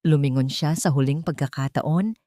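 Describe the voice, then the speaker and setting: A woman's voice: deep voice, normal speed, dark tone, dry recording, studio quality. A woman with a Filipino accent reading a scripted story as a dramatic narrator.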